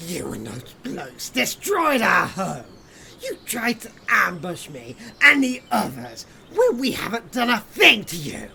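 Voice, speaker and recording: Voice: medium pitch, wildly swooping pitch, measured pace, creaky, roomy sound, big-room sound, full character voice. A performer voicing a bitter, lonely young male exile, resentful and prone to defiant outbursts, in an audio drama. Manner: resentful, swinging to loud defiant shouts. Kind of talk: amateur audio drama acting.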